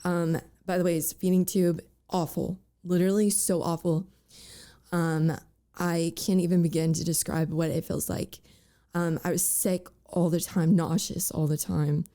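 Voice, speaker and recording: A young woman with a raspy voice full of cracks, speaking as a guest in a candid interview, halting and full of fillers. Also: fairly low pitch, flat delivery, mellow.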